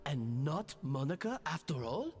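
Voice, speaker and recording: A man's voice: on the high side, sing-song, measured pace, faint music behind. A man playing an earnest, inquisitive young adventurer in a fantasy video game. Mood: questioning. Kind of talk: English video game voice acting.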